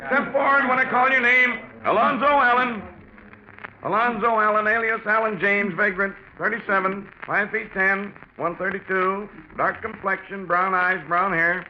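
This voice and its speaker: An actor playing a clipped, official police lineup announcer in a 1940s radio drama. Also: male voice, high-pitched, steady tone, normal speed, lightly acted. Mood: official, impersonal. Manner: clipped, list-reading. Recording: muffled, hissy 1940s radio recording.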